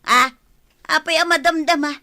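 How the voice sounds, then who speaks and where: A woman's voice: sits high, normal speed, bright, forceful tone. A woman playing a nagging, money-minded village wife in an audio drama, with an Ilocano accent. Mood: bossy, insistent. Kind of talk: Filipino audio drama acting.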